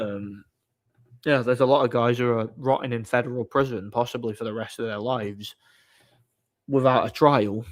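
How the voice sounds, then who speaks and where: A man hosting a livestream, chatting casually and off the cuff in a blunt, opinionated, somewhat halting way. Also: medium pitch. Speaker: livestream host. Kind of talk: casual off-the-cuff chat, answering viewer comments.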